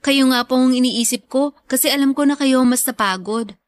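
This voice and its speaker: A woman's voice: sits high, fairly quick, lightly acted. A woman playing a devoted, respectful young Filipino graduate in a radio drama, speaking sweetly and politely to a parent. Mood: polite, reassuring.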